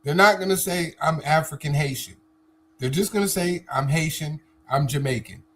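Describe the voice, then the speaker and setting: A man's voice: on the high side, moderate pace, a bit bright. A man co-hosting a live stream talk, arguing assertively with rhetorical questions and repeated starts. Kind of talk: casual debate chat.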